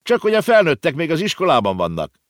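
A man voicing a busy young boy who acts like a hurried grown-up in a storybook reading. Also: on the high side, huge pitch swings, no room sound, clean studio sound, voice pushed up. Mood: brisk.

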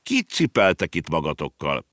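A man's voice: deep voice, huge pitch swings, a bit bright, no echo, clean studio sound, heavily acted. A man voicing a world-weary talking horse in a children's storybook, muttering and grumbling drily.

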